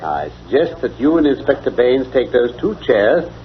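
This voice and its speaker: An actor playing a keen, commanding English gentleman detective in an old-time radio drama, crisp and wry. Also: mid-range voice, very animated, normal speed, lightly acted. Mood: authoritative. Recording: dull lo-fi old radio recording.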